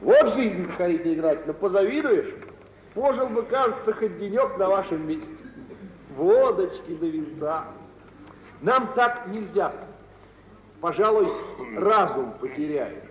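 A sly, jovial merchant in an old radio play. His highish voice swings widely as he teases and mock-humbles himself. The recording is hollow, echoing and muffled. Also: expressive, measured pace, slight background noise. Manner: teasing, mock-humble, chuckling gossip. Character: jovial, sly, mocking wealthy merchant in 19th-century Russia. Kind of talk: 1940s-50s radio theatre acting.

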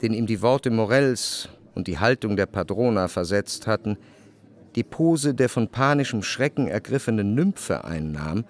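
Measured male narrator over faint music. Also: on the low side, animated. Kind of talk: scripted literary narration in radio drama.

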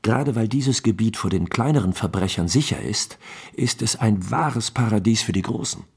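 An actor playing an affable, talkative captain of the imperial guard in a German radio drama, chatty, courteous and persuasive, with a husky voice. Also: male voice, lowish voice, animated, normal speed, full character voice. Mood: confiding.

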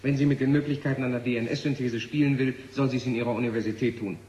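A man's voice: medium pitch, fairly even intonation, quick pace, a little mellow, husky, muffled recording. An actor playing an insistent, argumentative male scientist in a 1970 German radio drama, speaking with emphatic intensity. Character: insistent male scientist warning of an alien machine. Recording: noisy 1970 radio play recording.

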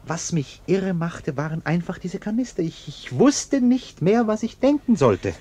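Stuffy middle-aged husband in a radio play, defensive and blustery, voice swoops around a lot. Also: male voice, high voice, big pitch swings, measured pace, dark tone, full character voice. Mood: agitated. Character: self-justifying, indignant middle-aged respectable bourgeois husband. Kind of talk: German radio play acting.

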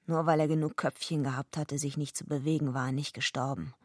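An actress in a radio drama playing a tough, bitter, defiant female wrestler who narrates her own story, with a gravelly voice and a gruff, blunt manner. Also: sits low, fairly even intonation, fairly quick, dry recording, clean studio sound. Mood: grim narration. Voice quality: gravelly, husky. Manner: gruff, blunt, sardonic, swearing. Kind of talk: radio drama, first-person noir narration and dialogue.

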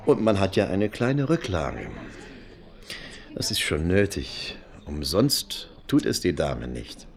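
Reserved middle-aged German gentleman, low voice. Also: very animated, slow pace, gravelly, heavily acted. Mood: quietly absorbed. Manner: formal, hesitant, polite. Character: reserved, courteous, wistful out-of-work company man of fifty-three. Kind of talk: German radio play dialogue.

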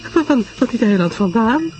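A man's voice: very high-pitched, sing-song, normal speed, darkish tone, faint music behind, voice pushed up, poor audio. A man voicing a distraught, tearful small woman in a story, stammering and pleading breathlessly. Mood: frightened, desperate.

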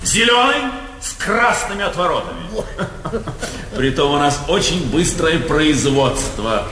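An actor playing a cheerful young aristocratic guards officer on stage, jaunty and deferential in a theatrical manner. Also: male voice, a little high, expressive, takes it slowly, pushed and bright, full character voice. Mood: jovial. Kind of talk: classical Russian stage acting. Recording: echoey theatre hall, faint noise.

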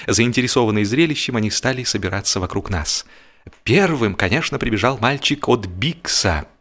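A man reading a humorous novel as an expressive audiobook narrator, with wry, theatrical phrasing. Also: mid-range voice.

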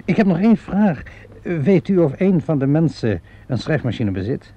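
An actor playing a polite, probing police inspector in a radio drama, halting, musing and understated. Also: male voice, fairly high pitch, big pitch swings, breathy edge. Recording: dull lo-fi radio play recording.

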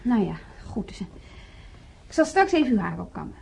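A young hospital nurse in a naturalistic radio play. Her voice is high and mellow, with animated swings in pitch, and she is patient and cheerful with those in her care. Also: brisk, gravelly, husky, full character voice, poor audio. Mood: reassuring, patient. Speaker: actress in a radio serial.

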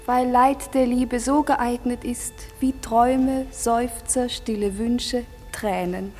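An actress playing a steadfast, sorrowful young maiden in love, speaking earnest, plaintive verse in a classical radio drama. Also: female voice, fairly high pitch, steady tone, takes it slowly, a little mellow, in a large hall, faint music behind.

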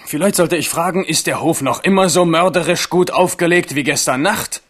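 A man's voice: a little high, moderate pace, a bit bright, dry recording, clean studio sound. An actor playing a grieving, bitter young king in a German radio drama, brooding and theatrical. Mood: bitter, subdued.

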